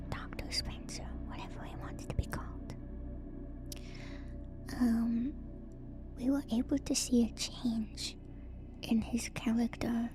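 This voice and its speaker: Female ASMR streamer, breathy whisper, very even and unhurried delivery, reading lore with gentle casual asides. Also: a little high. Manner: gentle, unhurried, musing, repeats phrases softly. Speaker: streamer and host.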